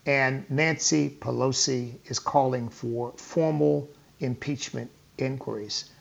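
A man's voice: medium pitch, steady tone, takes it slowly, creaky, lo-fi sound. A man hosting a political talk show, emphatic and repetitive, addressing listeners as folks. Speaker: radio talk show host. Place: hotel or venue on tour.

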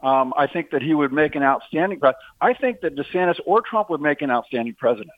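Guy calling in on a tinny phone line, somewhat high voice, chatty, talks in sports analogies. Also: expressive, fast talker, no echo. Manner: talkative, uses sports analogies. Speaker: guest, political show host. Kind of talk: phone-in political discussion.

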